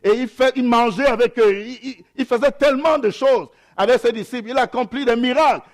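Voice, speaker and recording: A young adult man, a pastor whose first language is an African mother tongue, reads scripture slowly and then preaches with hesitations and repeated phrases. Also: very high-pitched. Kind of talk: sermon.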